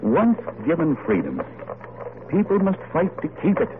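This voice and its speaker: A man's voice: a little high, huge pitch swings, moderate pace, noisy background. An actor playing a thoughtful, courteous and idealistic founding statesman in an old-time radio drama. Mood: courteous, earnest. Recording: tinny old radio broadcast.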